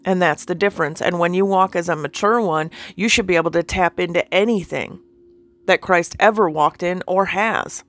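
A woman's voice: on the low side, moderate pace, fairly bright tone. A woman with a nasal, sinusy voice giving an informal spiritual teaching. She talks earnestly and keeps checking in with the listener.

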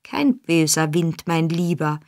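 A woman's voice: low voice, lively intonation, slow, a little mellow, exaggerated voice. A woman reading an audiobook, voicing a scheming old male fence who wheedles and placates. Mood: wheedling. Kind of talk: audiobook character voice.

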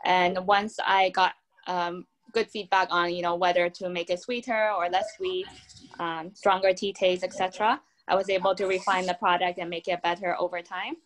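Young woman brand founder on a video call, lowish voice, upbeat and explanatory. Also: little pitch movement, normal speed, a bit bright. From Taiwan. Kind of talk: video call panel answer. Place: home room.

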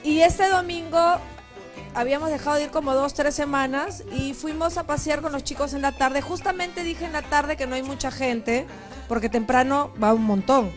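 A woman in her thirties, a TV host on a live outdoor link, telling her story in a lively, chatty way into a handheld mic. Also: high voice, normal speed, faint music behind. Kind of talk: casual live TV chat. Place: outdoors on a leafy street.

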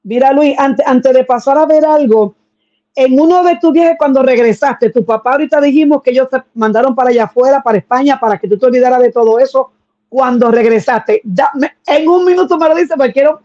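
A middle-aged woman pastor hosting an interview over a video call, enthusiastic and quick to exclaim praise. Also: high-pitched, warm tone. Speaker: pastor and show host. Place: home room with flags and pictures. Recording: dull lo-fi video call audio.

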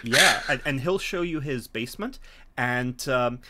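A middle-aged man explaining and narrating a tabletop game as game master, phrasing things in a hesitant, measured way. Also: mid-range voice, lively intonation, very noisy. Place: small home room, video call. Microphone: video call from a desk.

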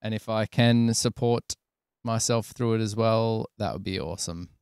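Aussie guy streaming, kinda low clean voice, jokey rambly chat on a close studio mic. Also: moderate pace, a little mellow, clear, resonant tone, dry recording. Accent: Australian. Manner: joking, slangy, rambling. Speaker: live streamer, sound editor. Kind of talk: casual livestream chat. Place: small indoor studio. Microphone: close desk microphone.

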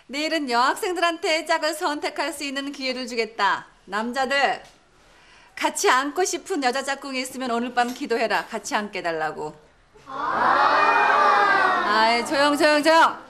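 An actress playing a firm schoolteacher instructing a class in a children's TV drama. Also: female voice, sits high, expressive, normal speed, a loud crowd, heavy background noise. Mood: brisk, firm.

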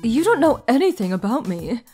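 Actress as gentle guarded anime young woman, fairly high voice, hesitant, melancholy, faint music. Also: big pitch swings, a little fast. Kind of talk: anime manga dub acting and narration.

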